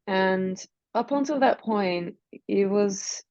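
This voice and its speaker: A woman's voice: medium pitch, slow, a little mellow, dry recording, muffled recording. A woman from Turkey in her thirties with a Turkish accent, speaking as a guest coach in a reflective, searching way. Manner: reflective, searching phrasing with fillers. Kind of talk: relaxed interview.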